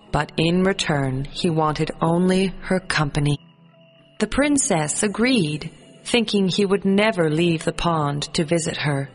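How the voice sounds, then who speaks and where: Woman reading a kids storybook, kinda low voice, slow n measured, echoey with music under it. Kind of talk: scripted children's fairy tale narration.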